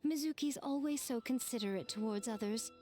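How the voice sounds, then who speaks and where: Courteous young woman with a sly tease, female actor, fairly high, anime game dialogue. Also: brisk, darkish tone, soft background music, natural voice. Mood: teasing, polite.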